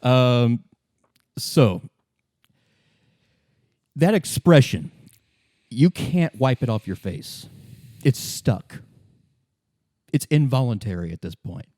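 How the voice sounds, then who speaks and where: A man's voice: medium pitch, animated, takes it slowly, pauses often, dark tone, no echo, clean studio sound. A man narrating a tabletop role-play as game master in a conversational style.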